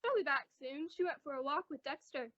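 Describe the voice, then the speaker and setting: A high voice in muffled lo-fi audio, a woman acting as a middle-aged housewife in a radio comedy. She is gentle and good-humored, soothing with a touch of teasing reproach. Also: brisk. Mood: pleasant. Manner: soothing, lightly scolding. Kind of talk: old-time radio sitcom acting. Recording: dull, lo-fi audio.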